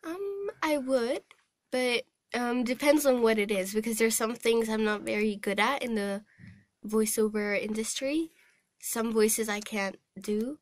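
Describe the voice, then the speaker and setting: Young female trainee from Hong Kong, higher-pitched, conversational, thoughtful with some hesitation. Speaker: voice-over trainee. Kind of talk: casual interview answers. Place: recording studio.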